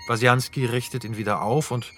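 A man's voice: medium pitch, steady tone, brisk, fairly bright tone, soft background music. Man, mid-range voice, narrator, terse scene descriptions, sober scripted radio play reading. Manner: sober, terse, present-tense reporting. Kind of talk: scripted narration of stage directions for a radio drama.

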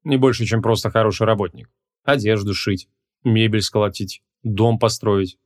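A man voicing a proud, smug ancient wizard in an audiobook, with a gruff, lordly and measured delivery. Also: fairly low pitch, steady tone, natural voice. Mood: grudging.

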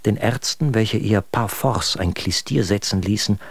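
A man's voice: fairly low pitch, a little slow, slightly rough, no room sound. An actor playing an exasperated, mocking male letter writer, telling his story articulately and sardonically in a German radio drama. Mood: scornful, sardonic.

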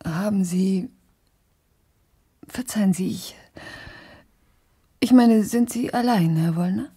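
Radio play: young wife, breathy dark voice, courteous, tentative, quietly stubborn. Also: female voice, mid-range voice, slow. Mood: polite, tentative. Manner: hesitant, apologetic, soft-spoken. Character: polite, tentative young housewife, quietly defiant toward her husband. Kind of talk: German radio drama acting.

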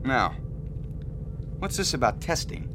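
An actor playing a cheerful, brotherly young fighter pilot in a radio drama, with an exuberant, teasing manner. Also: male voice, mid-range voice, big pitch swings, a little slow, noisy background. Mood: brisk, friendly. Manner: exuberant, teasing, laughing easily.

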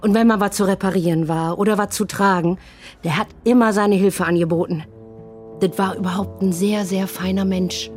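A woman's voice: mid-range voice, fairly even intonation, moderate pace, a little mellow, faint music behind, natural voice. An actress playing a naive, accommodating landlady in a radio drama, talkative and a little hesitant. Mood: confiding, flattered. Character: chatty, naive, accommodating landlady, easily flattered.

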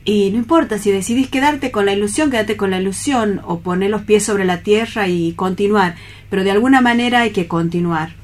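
A woman with an Argentine accent talking earnestly and haltingly in a reflective radio discussion. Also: medium pitch. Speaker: panelist on a radio theatre programme.

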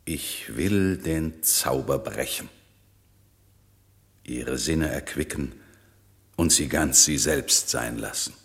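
A very deep, forceful sorcerer lord. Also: male voice, creaky, exaggerated voice. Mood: grave, reflective. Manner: solemn, measured, declamatory. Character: stern aging sorcerer lord, commanding yet turning to forgiveness. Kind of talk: classic German radio play acting.

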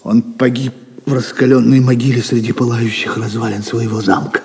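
A man's voice: mid-range voice, steady tone, normal speed, darkish tone, lightly acted. An actor playing a grave, honourable knight reporting to his prince in an audio drama. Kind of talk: theatrical audio drama acting. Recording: long reverberant hall sound.

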